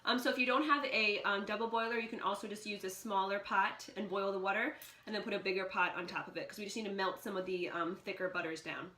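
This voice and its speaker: A young woman hosting her own channel, explaining a homemade recipe step by step in a relaxed, casual way from her kitchen. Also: medium pitch, fast talker. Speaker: channel host. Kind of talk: casual how-to vlog. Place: home kitchen.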